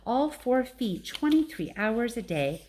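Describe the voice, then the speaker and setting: A woman's voice: a little high, big pitch swings, measured pace, a little hiss or hum, voice pushed up. Woman reading as a tired, motherly old elephant. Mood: weary, grave. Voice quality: tired, hushed. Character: tired, gentle, motherly older female elephant.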